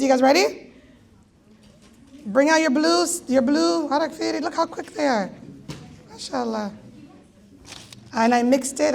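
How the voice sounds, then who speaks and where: Raspy, playful woman hosting a gala. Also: sits high, darkish tone, slight background noise. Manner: playful, teasing, jokey asides. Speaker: event host calling raffle draws. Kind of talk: live emcee banter at a gala.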